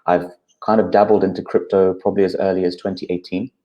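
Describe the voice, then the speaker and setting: A man in his thirties with a low, deep voice. As a guest he explains things steadily, with frequent uh fillers, and his pitch stays even and flat the whole time. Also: quick pace, lo-fi sound. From United Kingdom. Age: 30s. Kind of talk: explanatory interview talk.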